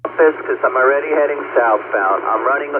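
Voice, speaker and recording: A man's voice: medium pitch, fairly quick. Bus driver guy calling in on two-way radio, tinny sound, plenty of static noise. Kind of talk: casual two-way radio reports. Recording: narrow, noisy police-scanner radio audio.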